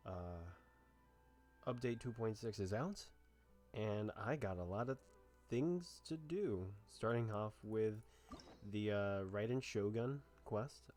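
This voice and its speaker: Man, game streamer, slow halting delivery, medium pitch, laid-back, joking, faint music. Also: darkish tone. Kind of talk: casual gameplay commentary.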